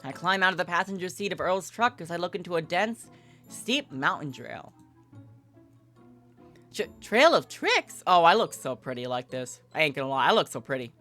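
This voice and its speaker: A playful, slangy, expressive woman livestreaming, moving between casual chat and narrating a visual novel. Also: on the low side, moderate pace, soft background music.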